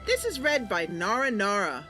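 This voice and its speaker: A woman's voice: mid-range voice, wildly swooping pitch, normal speed, pushed and bright. A woman doing casual, chatty and enthusiastic commentary as she streams a game. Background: faint music.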